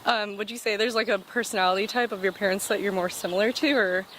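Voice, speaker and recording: A young woman from the Los Angeles area hosting a casual interview, asking questions and reacting with quick exclamations. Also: mid-range voice, steady tone, a bit bright, slight background noise. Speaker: interviewer host. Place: outdoors on a sidewalk.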